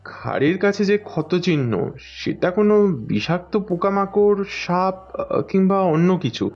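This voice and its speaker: Male detective for audio drama, played by a male actor: fairly high voice, inquisitive, tactful, conversational, slightly muffled sound. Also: expressive, normal speed, soft background music. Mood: puzzled. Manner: conversational, questioning, conciliatory. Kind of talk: audio story acting.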